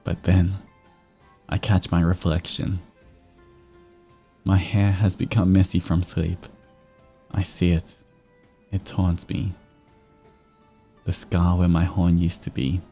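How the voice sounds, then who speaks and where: Male streamer, very low register, slow unhurried delivery, reading stories and chatting to viewers. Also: level tone, husky. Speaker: VTuber streamer host. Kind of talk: livestream fan-fiction reading with casual chat. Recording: soft music behind. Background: background music.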